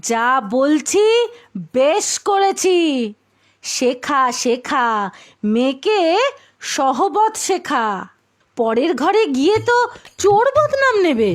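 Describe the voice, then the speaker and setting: A woman's voice: high voice, sing-song, takes it slowly, long pauses, heavily acted. A woman voicing a spiteful, quarrelsome middle-aged village aunt in a cartoon, scolding and sneering. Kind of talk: cartoon voice acting.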